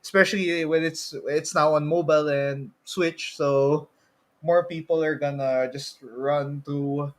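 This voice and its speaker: A man's voice: on the high side, steady tone, measured pace. A man with a Filipino accent hosting a casual podcast, mixing Tagalog particles into his English. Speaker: podcast host.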